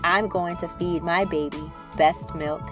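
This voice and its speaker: A woman's voice: on the low side, unhurried, natural voice. Woman reading as an eager little storybook girl over music. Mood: happy, proud. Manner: expressive, simple childlike storytelling. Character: eager little girl, a loving big sister narrating. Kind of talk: children's storybook read-aloud.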